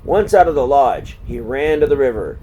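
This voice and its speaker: Male storyteller for children's read-aloud: mid-range pitch, deliberate phrasing with pauses, noticeable air-conditioning noise in the recording. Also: lively intonation, warm tone, highs cut off.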